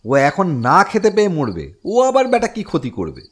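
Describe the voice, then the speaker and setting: Man playing an animated, blustering cartoon farmer. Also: a little high, a little fast, slightly rough, full character voice. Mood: dismissive, confident. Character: gruff, bold village farmer husband. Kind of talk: Bengali fairy-tale cartoon voice acting.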